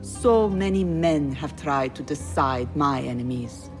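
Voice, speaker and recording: A woman's voice: low-pitched, measured pace, over music. A woman playing a proud, witty, flirtatious poet in a video game, speaking in eloquent, theatrical phrases. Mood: proud, reflective. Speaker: game character, poetess.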